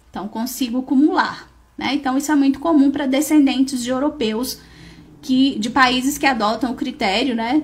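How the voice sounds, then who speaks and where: A Brazilian woman in her thirties teaching a live online law lesson, explaining lively and asking and answering her own questions. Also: sits high. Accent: Brazilian Portuguese. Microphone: phone or webcam, live video stream.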